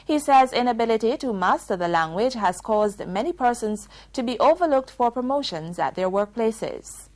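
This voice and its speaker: A woman with a Jamaican accent reading scripted news bulletins in a crisp, formal delivery. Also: on the high side, lively intonation, normal speed, hardly any pauses, darkish tone. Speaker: news presenter.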